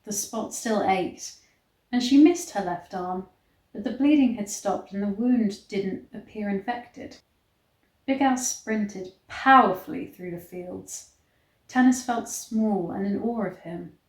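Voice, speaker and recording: Slow-paced woman reading aloud, roomy echo. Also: medium pitch. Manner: mock-dramatic reading broken by blunt, incredulous commentary. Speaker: online reader and commentator. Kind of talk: reading a novel aloud with incredulous asides. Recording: roomy, echoey sound.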